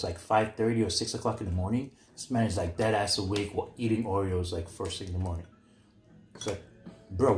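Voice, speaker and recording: A young adult man telling a story in casual, slangy chat, halting and repeating himself with some disbelief. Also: on the low side, a little mellow, slight background noise. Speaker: guest co-storyteller. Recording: echoey, roomy sound.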